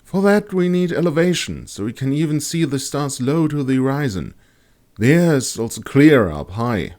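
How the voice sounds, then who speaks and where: Man voicing a keen young female astrologer, pitched up high. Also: big pitch swings, normal speed, a little mellow, lightly acted. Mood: earnest explaining. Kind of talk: audiobook character dialogue.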